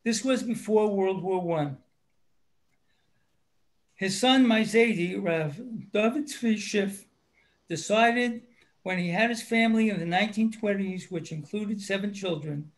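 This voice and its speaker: Gravelly, high-pitched man reading a review. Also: rough recording. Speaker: book reviewer. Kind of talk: reading a prepared book review.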